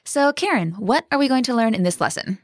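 A woman hosts a language lesson in an upbeat, teacherly way. Her voice sits a little high and moves with lots of melody. The sound is dry and close, straight from a studio mic. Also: expressive, fairly quick, no room sound. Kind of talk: scripted lesson dialogue.

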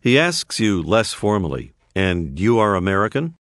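A man narrating a scripted language course, clearly prompting the listener to repeat phrases. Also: on the low side, big pitch swings, a little slow, a bit bright, no room sound. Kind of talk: scripted audio lesson instructions. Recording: dry studio voice-over.

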